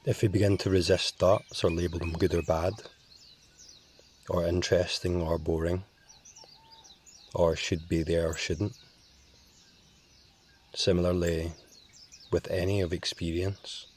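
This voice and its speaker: A man leads a guided meditation in a calm, measured voice and leaves long pauses between phrases. His voice is deep and steady and moves very little, and he speaks very slowly. Faint birdsong sits quietly behind him. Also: a little hiss or hum.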